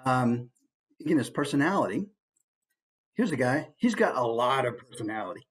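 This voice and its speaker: A man's voice: medium pitch, normal speed. A man giving a casual, playful instructional talk as a voice actor. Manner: animated, playful.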